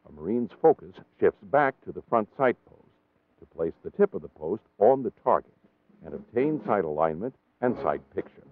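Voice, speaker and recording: A man with an American accent reading scripted training-film narration in a measured, formal, precisely enunciated way. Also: low voice, phone-quality sound, slight background noise.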